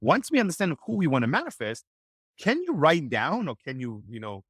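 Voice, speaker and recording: A man whose first language is Hebrew, giving energetic, motivational networking advice as a podcast guest. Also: on the high side, brisk, breathy edge, no room sound, studio quality. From Israel. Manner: energetic, motivational, acts out quoted dialogue. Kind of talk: animated podcast interview.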